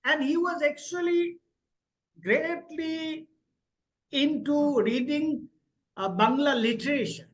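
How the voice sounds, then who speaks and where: A man's voice: very high-pitched, slow pace, long pauses, muffled recording. A man interviewing a guest, hesitant, with many fillers and restarts. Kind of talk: interview questions and comments.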